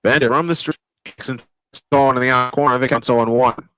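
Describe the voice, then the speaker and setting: Grandfatherly man calling baseball, bright voice. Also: medium pitch, a little fast, smooth, dry recording, low-quality recording. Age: older. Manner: old-school broadcast style, rising excitement on big plays. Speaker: play-by-play announcer. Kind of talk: baseball play-by-play commentary.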